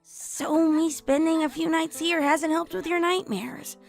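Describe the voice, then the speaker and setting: A woman's voice: very high voice, fairly even intonation, unhurried, gravelly, faint music behind, voice pushed up, exaggerated voice. A woman voicing a sleepy, loyal tomboy mare who speaks in a groggy, reassuring way in a story reading. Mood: quiet, comforting. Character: tomboyish female pony, sleepy, loyal and comforting.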